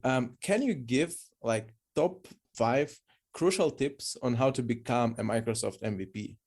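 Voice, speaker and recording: A male podcast host from Belarus keeps his questions short. His voice is mid-pitched and plain for a man, and he adds brief, encouraging remarks between the guest's answers. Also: unhurried, darkish tone. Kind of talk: interview questions.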